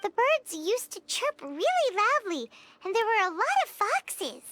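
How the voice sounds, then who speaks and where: A woman voicing a tiny floating childlike female companion in a video game, with a high, squeaky voice and a chatty, curious manner. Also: very animated, measured pace, noisy background, exaggerated voice. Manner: wide-eyed, exclaiming, chatty. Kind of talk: video game cutscene dialogue.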